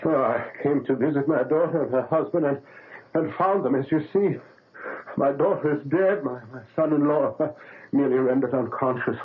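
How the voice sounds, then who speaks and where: An actor playing a guilt-ridden older surgeon who stammers and hesitates in a 1970s radio drama. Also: male voice, mid-range voice, moderate pace, close to an everyday voice. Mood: anxious. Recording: narrow phone-like old radio broadcast, faint hiss.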